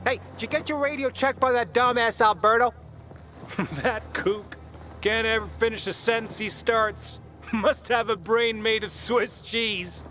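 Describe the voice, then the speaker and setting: Jeering male survivor, video game: extremely high, sharp voice, boisterous, crude. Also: fairly even intonation, a little slow, faint music behind, full character voice.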